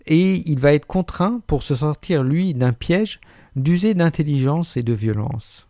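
A man delivers a radio book column in a wry, articulate way, pausing now and then on hesitations. His voice sits a little high. The studio sound is close and dry. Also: expressive, dark tone, no room sound. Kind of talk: radio literary chronicle, partly read.

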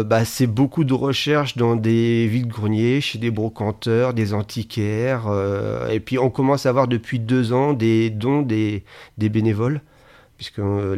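A man from Angers speaks in a fairly low voice. He talks spontaneously in an interview and hesitates often, filling pauses and restarting his sentences as he explains his collection. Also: a little mellow. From France, Angers. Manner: hesitant, many fillers and restarts. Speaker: museum founder, association member. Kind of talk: radio interview, spontaneous speech.

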